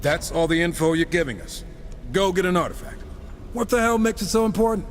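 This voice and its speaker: A man's voice: sits high, brisk, a little hiss or hum, close to an everyday voice. An actor playing a wisecracking, impatient male horseman in a video game, sarcastic and flippant. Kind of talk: video game cutscene acting. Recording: long hall reverb, music behind.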